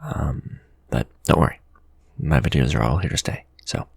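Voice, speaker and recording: Male creator, casual channel update: extremely low, crackly fry, hesitant phrasing, close microphone. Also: lively intonation, moderate pace, no echo, in a whisper. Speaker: ASMR video creator.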